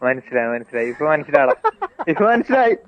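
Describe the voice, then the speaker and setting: A man's voice: on the high side, big pitch swings, no room sound. A man with a Kerala Malayalam accent chatting casually on the phone, puzzled and hesitant in his answers. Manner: slow to catch on, puzzled, hesitant. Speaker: friend on the receiving end of a call. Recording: dull lo-fi phone call sound.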